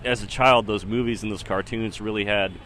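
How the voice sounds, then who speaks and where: A man's voice: lowish voice, steady tone, fairly quick, a bit bright, a little hiss or hum. A man in his thirties giving casual, rambling spoken commentary in a hesitant, musing way that often trails off. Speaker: solo podcast host.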